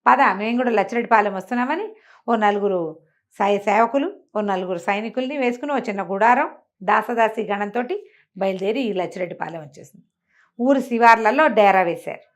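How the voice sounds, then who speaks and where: A woman telling a folk tale in an animated, conversational way, acting out the characters' exclamations. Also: mid-range voice, a little fast. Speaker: storyteller. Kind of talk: animated oral folk storytelling to a listener.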